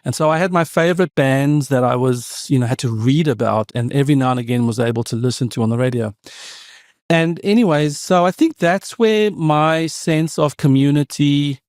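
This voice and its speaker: A man's voice: fairly high pitch, normal speed, a little mellow, no echo, clean studio sound. South African man telling stories. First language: Afrikaans. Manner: reflective, meandering storyteller with frequent asides. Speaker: podcast guest, tech founder. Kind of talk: conversational interview storytelling.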